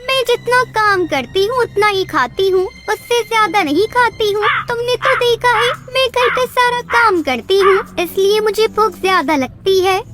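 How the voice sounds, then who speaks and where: Woman voicing a very high, childlike cartoon bird wife. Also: moderate pace, hardly any pauses. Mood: defensive. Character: stubborn, gluttonous chubby female cartoon bird wife. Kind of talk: animated Hindi moral story dialogue. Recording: music bed behind the voice.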